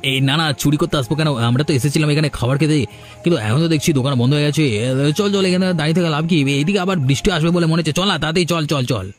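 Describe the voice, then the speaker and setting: A man's voice: on the high side, fast talker, faint music behind, close to an everyday voice. A man voicing a jealous, scheming, idle village man in a cartoon, with grumbling, blustering colloquial speech. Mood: flustered. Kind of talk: cartoon dialogue.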